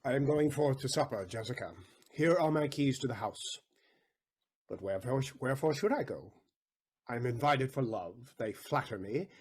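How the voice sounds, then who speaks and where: A man's voice: mid-range voice, lively intonation, normal speed, darkish tone, slightly rough. A man playing a bitter, suspicious old miserly father, a moneylender, in a Shakespearean audio play. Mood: grudging, uneasy.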